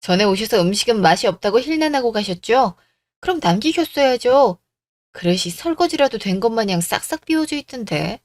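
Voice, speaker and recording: A woman's voice: a little high, lively intonation, fairly quick, dry recording, studio quality, natural voice. A woman voicing a plucky, assertive young bride-to-be with a cutting manner in a radio drama story. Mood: scolding, resolute. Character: bold, defiant young bride-to-be, plucky daughter.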